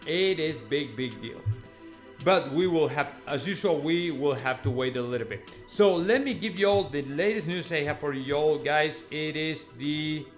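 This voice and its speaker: A man's voice: on the high side, normal speed. A man talks casually to his audience as a football host. His delivery is conversational and emphatic, with repeated phrases and checking tags at the ends of thoughts. Light music plays underneath. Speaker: football vlogger and fan channel host. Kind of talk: casual news commentary to viewers.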